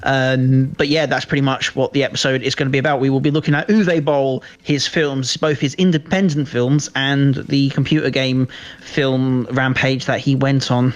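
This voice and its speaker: A man's voice: medium pitch, little pitch movement, normal speed, highs cut off. Male podcast co-host, conversational, loose and rambling delivery with repeated words. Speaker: podcast co-host discussing films. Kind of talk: casual chat.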